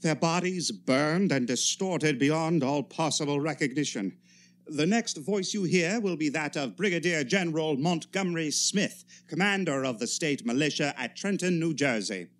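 An actor playing a grave, formal news announcer in a 1930s-style radio drama, solemn and a little halting. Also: male voice, fairly high pitch, lively intonation, lightly acted. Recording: faint hum and noise.

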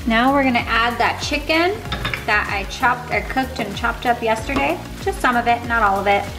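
A woman's voice: a little high, moderate pace. A woman in her thirties chatting casually in an upbeat, homey way while she cooks in her home kitchen, filmed by a camera on the counter. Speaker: fitness vlogger. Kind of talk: casual cooking vlog. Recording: echoey kitchen with background music.

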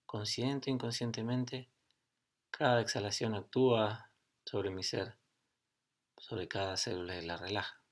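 Low-voiced man guiding meditation. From Argentina. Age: middle-aged. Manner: slow, calm delivery in short phrases with long pauses. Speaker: yoga and meditation teacher. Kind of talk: guided meditation.

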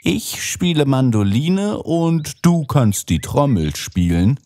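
A man voicing a friendly old donkey leader in a children's fairy tale cartoon, husky, with drawn-out, swooping delivery. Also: medium pitch, sing-song, warm tone. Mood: friendly, encouraging.

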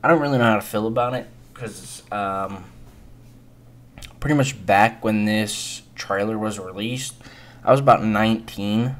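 A young man in his early twenties with a fairly low voice talks off the cuff as a gaming video creator. He rambles and hesitates, sounding resigned as he gives his casual reaction. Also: unhurried. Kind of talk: casual unscripted news reaction commentary.

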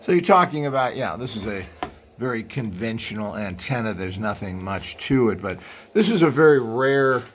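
A man's voice: lowish voice, expressive, moderate pace. A man hosting an informal hands-on walkthrough, explaining things in a rambling way as he films indoors. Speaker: host of a vintage equipment video channel. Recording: clear background noise, room ambience.

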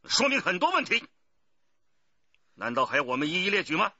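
An actor playing a stern, authoritative police detective chief in a radio crime drama. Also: male voice, high-pitched, big pitch swings, fairly quick, bright, forceful tone, slightly rough, dry recording, highs cut off, exaggerated voice. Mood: stern, pressing. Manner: authoritative, pressing.

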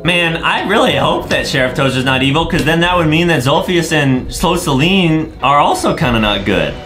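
Casting: mock-earnest young American male co-host, playful, lively intonation, music in background. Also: fairly high pitch, moderate pace. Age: young adult. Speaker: gaming video co-host. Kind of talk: casual playful banter. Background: clear music.

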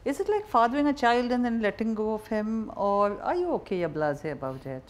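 A woman's voice: fairly high pitch, sing-song, fairly quick. A middle-aged woman with an Indian accent, hosting a conversational interview with direct, probing questions. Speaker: interview host. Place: seated indoors in a wood-panelled bar set.

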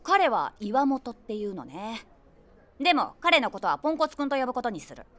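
A woman's voice: on the high side, sing-song, a little fast, fairly bright tone. An actress playing a nosy, imaginative middle-aged woman who narrates a comic audio drama with wry, theatrical asides. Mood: wry, teasing. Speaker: narrator and main character.

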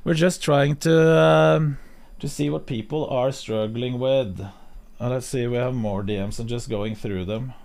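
Slow-talking Norwegian man streaming. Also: medium pitch, creaky, darkish tone. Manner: slow, hesitant, many fillers and restarts. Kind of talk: casual live-stream chat. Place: home streaming room. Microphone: studio mic on boom arm, headphones.

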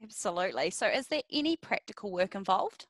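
A woman's voice: mid-range voice, big pitch swings, a little fast, a bit bright, dry recording. A young woman working as a student liaison officer, asking upbeat interview questions into a headset. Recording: dry close headset audio.

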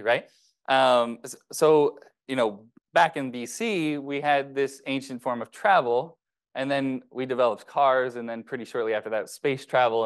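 A man in his thirties, a dermatology resident, giving a relaxed keynote to medical students with many ums and restarts. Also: mid-range voice. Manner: casual, many fillers and restarts. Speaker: keynote speaker. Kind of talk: conversational keynote speech. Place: ceremony hall, speaking to an audience.

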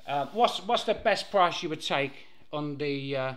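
A man's voice: on the high side, expressive, a little slow, fairly bright tone. An English man making a haggling prank call, rambling pushily and repeating his phrases. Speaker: prank caller posing as a fruit machine dealer.

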